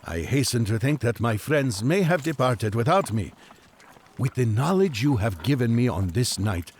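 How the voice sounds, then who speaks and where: An actor playing an earnest, devout Magian traveler on an urgent quest, speaking in solemn, formal, old-fashioned language for an audio drama. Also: male voice, medium pitch, very animated, normal speed, a little mellow, a little hiss or hum.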